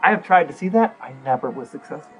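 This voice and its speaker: A man's voice: on the high side, lively intonation, fast talker, husky. An American man from Ohio chatting casually and asking questions as a podcast co-host. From Ohio, United States. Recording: dull lo-fi audio with music.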